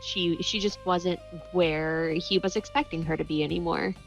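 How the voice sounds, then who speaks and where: A woman's voice: fairly low pitch, steady tone, moderate pace, creaky, soft background music. A woman playing a tabletop game, steadily describing what her character does. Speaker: player. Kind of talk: casual tabletop play. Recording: dull lo-fi recording.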